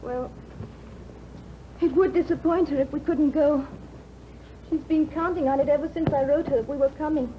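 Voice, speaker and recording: Sweet young wife, a woman acting, soft and soothing, dull old radio sound. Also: high-pitched, steady tone, normal speed, long pauses, a little hiss or hum, close to an everyday voice. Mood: gentle. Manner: gentle, soothing. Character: caring young bride worried for her husband. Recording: muffled 1950s radio broadcast.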